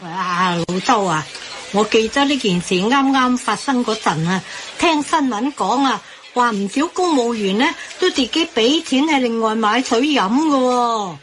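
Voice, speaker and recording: A woman's voice: on the high side, expressive, unhurried. A woman playing an inquisitive, fussy older auntie with a Hong Kong Cantonese accent in a radio drama. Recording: faint background noise.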